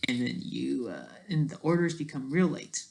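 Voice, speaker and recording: A person thinking aloud in a casual, digressive commentary over study notes, halting often and talking in an informal, self-deprecating way. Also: mid-range voice, animated, vocal fry. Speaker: solo online commentator.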